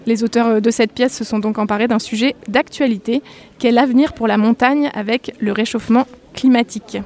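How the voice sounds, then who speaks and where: A woman's voice: fairly high pitch, steady tone, a little fast, darkish tone. Composed woman hosting festival radio. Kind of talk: radio interview and announcements.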